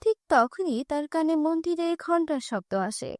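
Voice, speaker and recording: A woman's voice: very high-pitched, moderate pace, darkish tone, dry recording, studio quality. A woman narrating a children's fairy tale from a script.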